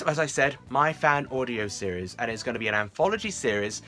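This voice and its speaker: A man's voice: medium pitch, a bit bright. A young man talking casually to camera as a fan series creator, chatty, with self-deprecating asides. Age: young adult. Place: small room indoors at home.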